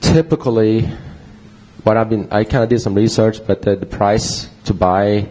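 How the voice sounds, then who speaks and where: A man's voice: on the low side, steady tone, normal speed, a little mellow, husky, rough recording. A man with a Swedish accent presenting a talk with slides to an audience, casual and rambling with fillers and restarts. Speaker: conference presenter.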